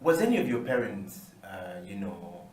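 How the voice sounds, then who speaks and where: A Ugandan man hosting a live TV interview, energetic and promotional, asking questions and affirming often. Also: deep voice, moderate pace, far from the mic. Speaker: TV talk show host. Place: TV studio. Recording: echoey room, set back from the mic.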